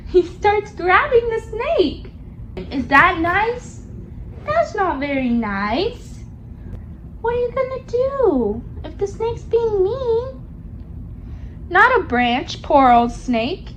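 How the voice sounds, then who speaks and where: A woman reads a picture book to a young child in a high, sing-song voice. She takes her time and keeps coaxing the listener with simple questions. There is slight background noise behind her. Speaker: storybook reader for a young child.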